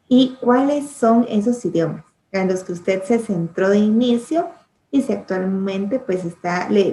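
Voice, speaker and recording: A woman's voice: medium pitch, a little mellow. A woman interviewing a guest, speaking politely and formally. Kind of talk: interview questions. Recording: roomy echo with faint background noise.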